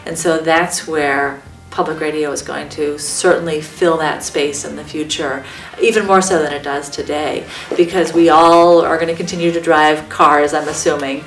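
Mature American female executive, low register, measured interview delivery, roomy sound. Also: fairly even intonation, a bit bright. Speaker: public radio executive. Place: large dark glass-walled meeting room. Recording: roomy, slightly distant mic, music. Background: music.

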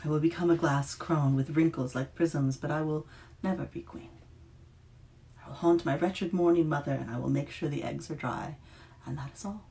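A reader voicing a resigned ghostly female librarian spirit in a storybook reading, with a whispery, gravelly edge. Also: medium pitch, moderate pace, dark tone, natural voice.

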